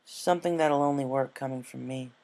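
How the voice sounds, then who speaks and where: A grown female pegasus from a storybook, read by a man. She speaks frankly and gently. The delivery is low-key, like an older sister talking to a younger one. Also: fairly even intonation, a little fast, close to an everyday voice. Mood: determined. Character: frank, injured adult female pegasus pony, big-sisterly.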